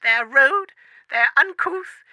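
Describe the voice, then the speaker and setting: A woman's voice: high-pitched, lively intonation, takes it slowly, pushed and bright, no room sound, exaggerated voice. A woman playing a callous, haughty executive mother, brusque and indignant, calling a comedy radio show. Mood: disdainful. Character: callous, haughty female executive mother who hates children. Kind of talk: scripted comedy radio phone-in acting.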